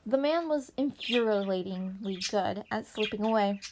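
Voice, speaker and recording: A woman's voice: mid-range voice, animated, a little slow. A woman reading fan fiction narration aloud as an amateur podfic reader. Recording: noisy amateur recording with birds chirping.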